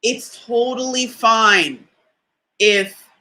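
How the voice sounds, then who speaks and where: A woman with a deep voice giving a conversational motivational talk, stressing key lines by repeating them and often checking in with the listener. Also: extremely slow, pushed and bright. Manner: emphatic, repeats key phrases for effect; conversational, often asks "you know what I mean?". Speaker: motivational speaker.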